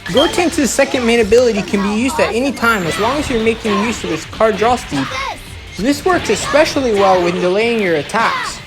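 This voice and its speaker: A woman's voice: on the high side, animated, a little fast. A woman giving an instructional, step-by-step voice-over as the narrator of a gameplay guide. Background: music.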